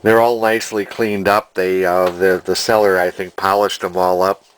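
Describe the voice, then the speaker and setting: Male hobbyist narrator, slightly low pitch, plainspoken and unhurried in manner, unscripted. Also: little pitch movement, a little hiss or hum, rough recording. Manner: deliberate, folksy, plainspoken. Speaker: antique radio collector and restorer. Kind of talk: casual unscripted video narration.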